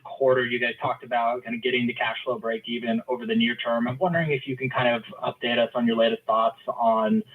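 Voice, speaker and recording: A male analyst calls in with questions, speaking casually and a little hesitantly. His medium-pitched voice stays fairly flat and comes through a thin phone line. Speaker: financial analyst caller. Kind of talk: asking questions on an earnings call. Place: phone call into conference. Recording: narrow tinny phone line.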